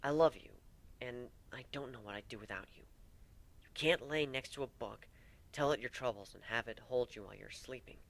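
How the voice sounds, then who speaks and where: Man voicing a soft-spoken, tough female griffin. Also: medium pitch, brisk, vocal fry. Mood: affectionate. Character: tough, tender female griffin lover, first mate.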